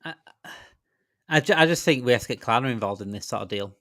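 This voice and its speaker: A man in a casual football chat, speaking wryly with halting, restarted phrases. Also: mid-range voice, lively intonation, sharp tone, dry recording, studio quality. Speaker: football fan show panelist.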